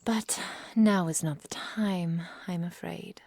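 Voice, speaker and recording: Casting: possessive immortal witch played by a woman, low-pitched, whispery and mellow, formal and tenderly doting, for ASMR roleplay. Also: slow pace, no echo. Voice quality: soft, breathy, whispery. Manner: tender endearments, formal old-fashioned storybook phrasing. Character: possessive, adoring immortal witch living in the forest. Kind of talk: intimate ASMR roleplay, slow dramatic delivery.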